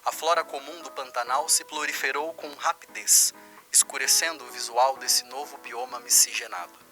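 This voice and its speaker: A man's voice: mid-range voice, steady tone, normal speed, pushed and bright, low-quality recording. A man playing an earnest science program narrator, formal and explanatory, in a mock documentary. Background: music.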